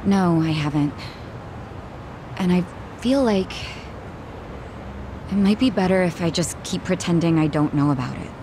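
A woman's voice: lowish voice, measured pace, long pauses, noisy background. An actress playing a worried, earnest young woman in a dramatic video game. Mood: grateful, earnest. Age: young adult. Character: worried young hostess, guarded, earnest twin sister.

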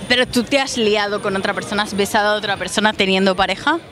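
A young woman with a Spain Spanish accent asks questions as a street interviewer. She speaks casually into a handheld mic, outdoors among clearly audible crowd noise. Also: mid-range voice, quick pace. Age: 20s. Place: city sidewalk at night, outdoors. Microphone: handheld microphone.

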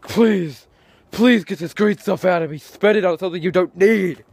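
A man's voice: sits high, normal speed, darkish tone, slightly rough, voice pushed up. A young man doing a pleading talking wallet.

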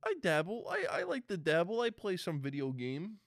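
A man's voice: sits high, wildly swooping pitch, normal speed, creaky, dry recording, clean studio sound. A man co-hosting a casual chat, arguing back in short contrarian remarks. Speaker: co-host in a panel chat.

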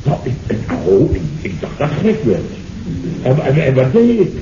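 A blustering, indignant boss in noisy old audio. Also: male voice, medium pitch, wildly swooping pitch, normal speed. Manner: exasperated, sarcastic, stammering and repeating words. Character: blustering, indignant middle-aged male boss, self-important. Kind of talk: 1970s Dutch radio comedy acting. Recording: muffled, noisy, echoey old radio recording.

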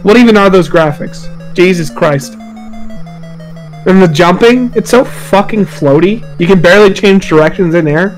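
A man's voice: high-pitched, a little slow, faint music behind, rough recording. A man hosting a casual podcast chat, swearing freely and ranting with exaggeration. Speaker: podcast host.

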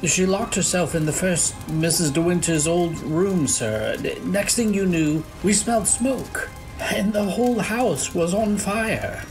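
An actor playing a deferential, shaken English manservant in an old-time radio drama, halting as he speaks. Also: high-pitched, lively intonation, faint music behind, low-quality recording. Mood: shaken, respectful.

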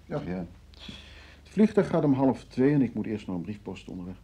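An actor in a Dutch radio drama playing an evasive, withdrawn husband in a stale marriage, his voice husky and muted. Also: lowish voice, big pitch swings, a little fast, mellow.

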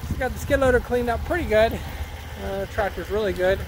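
Thirties male farmer, high-pitched, easygoing chat, loud engine bed. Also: fairly quick. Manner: easygoing, chatty. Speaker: farmer vlogger. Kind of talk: casual vlog narration. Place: farm vehicles and outdoors in snow. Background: engine and vehicle noise.

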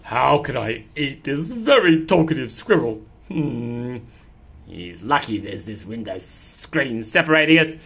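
A woman's voice: rumbling low, very animated, a bit bright, husky, voice pushed down, full character voice. A woman voicing a grumpy, bored indoor cat in a picture-book read-aloud, whiny and melodramatic. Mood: sulky, scheming. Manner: whiny, melodramatic, drawn-out delivery.

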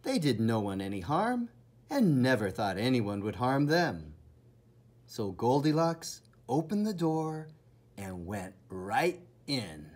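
Husky, animated man reading to children. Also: medium pitch. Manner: enthusiastic, theatrical, dramatic emphasis and pauses. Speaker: storybook reader. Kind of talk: children's read-aloud narration.

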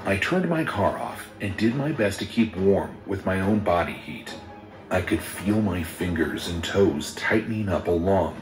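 A man reading a first-person horror story in a steady, measured storytelling voice. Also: deep voice. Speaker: narrator. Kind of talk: scripted horror story narration. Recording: roomy sound with music underneath.

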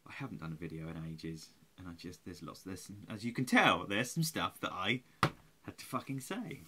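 A man's voice: low-pitched, lively intonation, normal speed, bright, forceful tone, a little hiss or hum. Tired, sweary Englishman on a webcam. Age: 40s. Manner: rambling, sweary, self-mocking. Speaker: vlogger. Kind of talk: casual webcam vlog. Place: small room at home, indoors. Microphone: webcam at close range.

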